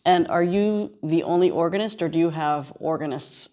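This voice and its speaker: Female radio host, low-pitched voice, conversational interviewer on location. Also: normal speed, warm tone. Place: on location at a seminary.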